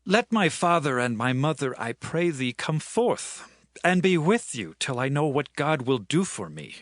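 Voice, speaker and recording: Earnest young leader, lightly high-pitched. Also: male voice, very animated, unhurried, dry recording, studio quality. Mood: respectful. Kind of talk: dramatized scripture reading.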